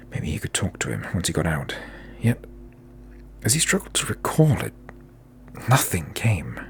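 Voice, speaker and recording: A man with a gravelly, husky voice narrating a fantasy audio drama in a deliberate, dramatic way. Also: rumbling low, slight background noise.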